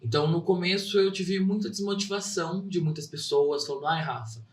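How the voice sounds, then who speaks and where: A man's voice: high voice, brisk, distant mic. A young man from São Caetano, a theater actor who speaks as a guest in an earnest, heartfelt way. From São Caetano, Brazil. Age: young adult. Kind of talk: interview answers. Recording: roomy sound, a bit off-mic.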